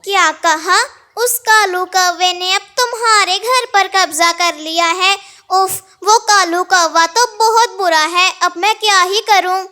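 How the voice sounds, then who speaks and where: A woman's voice: very high voice, a little slow, pushed and bright, full character voice. A woman voicing a kind, peace-loving female bird in a cartoon story, earnest and reasoning. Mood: concerned, helpful.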